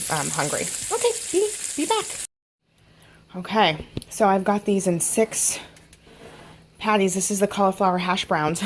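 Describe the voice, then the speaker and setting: A woman vlogger with a cold, chatting casually through a home cooking video with lots of ums. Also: mid-range voice. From Oregon. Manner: chatty, rambling with many ums. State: has a cold. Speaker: fitness vlogger. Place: home kitchen.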